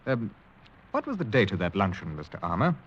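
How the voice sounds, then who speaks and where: Radio drama support: cautious older physician, lowish animated voice, gently tactful, muffled noisy forties recording. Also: male voice, fairly quick. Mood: tactful, hesitant. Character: older, considerate, cautious male mission hospital doctor. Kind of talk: 1940s radio acting.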